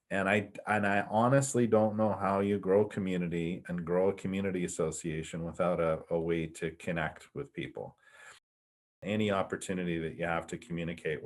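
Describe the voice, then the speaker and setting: Deep-voiced earnest community director man. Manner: earnest, repeats words as he thinks. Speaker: community safety organization director. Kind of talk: documentary interview.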